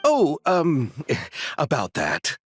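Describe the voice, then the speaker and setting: A man's voice: on the high side, huge pitch swings, very slow, full character voice. An actor playing an earnest, gallant male film director in a video game, speaking in a flowery, dramatic, effusive way. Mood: sheepish. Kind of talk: animated video game dubbing. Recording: music behind the voice.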